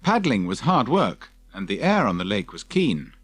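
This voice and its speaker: A man's voice: lowish voice, big pitch swings, normal speed, dry recording. A man reading scripted narration from a children's adventure story as an audiobook narrator.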